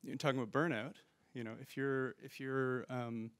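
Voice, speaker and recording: A man's voice: medium pitch, steady tone, moderate pace, clear, resonant tone. A male panelist speaks in a thoughtful, hedging way, stopping often to find his words, in a mid-range voice. The handheld microphone keeps him dry and close, with no room echo. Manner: thoughtful, hedging, frequent fillers and restarts. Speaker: panelist and conference organizer. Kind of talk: conference panel discussion. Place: conference room stage.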